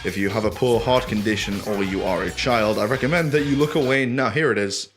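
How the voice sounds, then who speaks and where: A man's voice: fairly low pitch, brisk. A man hosting a comic scripted video essay in a theatrical, sweary detective style. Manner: theatrical, sweary, swings into exasperated yelling. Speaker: host of a video essay show. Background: music bed.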